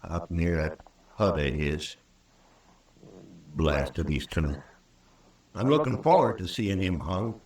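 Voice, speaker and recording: A man's voice: low voice, animated, slow pace, pauses often, darkish tone, exaggerated voice. An actor playing a bitter, vengeful male rancher in an Old West radio drama, blunt and folksy. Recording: roomy old radio recording, distant from mic.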